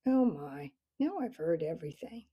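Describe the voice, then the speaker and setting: A woman's voice: lowish voice, big pitch swings, moderate pace, husky, muffled recording. A woman voicing a boastful male owl captain who mocks and cackles, in a storybook reading. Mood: laughing. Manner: mocking, laughs raucously.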